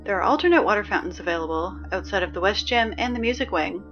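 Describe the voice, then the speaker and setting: Muffled, as if over a school PA with music underneath, an actress plays a female morning announcer in an audio drama. She is reluctant and awkward, delivering official notices in a weary deadpan. Her pitch sits in the middle range, and she sounds easily flustered and exasperated. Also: quick pace, close to an everyday voice. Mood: weary, uneasy.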